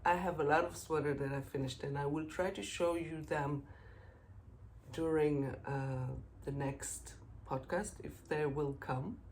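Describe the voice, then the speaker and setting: A middle-aged Israeli woman with a low voice talks slowly through her knitting podcast. Her English halts on "uh" and "um" as she hunts for words. A faint hum sits behind her. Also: steady tone, darkish tone. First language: Hebrew. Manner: halting, frequent fillers, searching for English words. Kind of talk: casual knitting podcast monologue.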